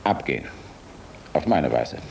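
An actor playing a weary, resolute air force general in a German radio drama, grave and clipped. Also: male voice, a bass voice, expressive, a little slow, vocal fry, a little mellow, a little hiss or hum, full character voice, poor audio. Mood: calm, resigned.

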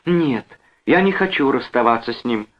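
A man's voice: mid-range voice, expressive, normal speed, lo-fi sound, voice pushed up, natural voice. A man voicing an anxious, protective young wife with a pleading, whining, agitated delivery in an audiobook. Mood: desperate insistence.

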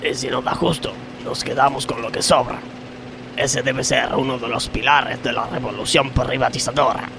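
An actor playing a scheming, mock-solemn factory worker with an Argentine accent in a radio comedy sketch. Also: male voice, mid-range voice, fairly even intonation, moderate pace, creaky, background noise, natural voice. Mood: conspiratorial. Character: scheming, work-shy, football-loving male factory worker.

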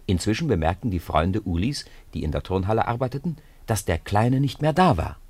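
A man narrating a 1950s radio play in an expressive storytelling manner. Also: on the low side, brisk, slightly rough, no room sound. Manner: expressive storytelling with lively intonation.

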